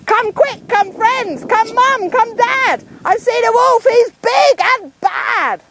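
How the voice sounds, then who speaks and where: A woman's voice: very high voice, animated, slow, heavy background noise, pitched above the performer's own voice, exaggerated voice. A woman voicing a bored, mischievous young shepherd boy in a storybook, with exaggerated, dramatic delivery. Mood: mock alarm. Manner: exaggerated, dramatic calling and complaining.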